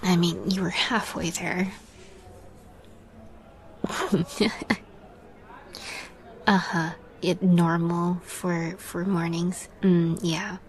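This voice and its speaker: A woman playing a shy young catgirl roommate in an intimate roleplay audio, halting and nervous with a playful streak, often softening to a near whisper. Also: fairly low pitch, flat delivery, poor audio. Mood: flustered.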